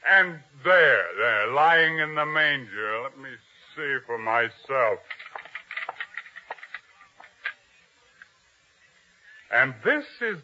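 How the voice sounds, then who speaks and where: A man's voice: mid-range voice, big pitch swings, takes it slowly, pushed and bright, exaggerated voice. A man playing a hard, ambitious, commanding soldier in a 1950s radio drama, curt and sardonic. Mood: hushed awe. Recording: dull, noisy vintage radio drama recording.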